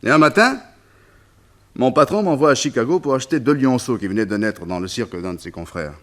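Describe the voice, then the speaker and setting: A man's voice: mid-range voice, lively intonation, brisk, a bit bright, close to an everyday voice. An actor playing a wistful former colonial officer turned circus tamer in a French radio serial, telling his story in a conversational, hesitant way. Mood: nostalgic.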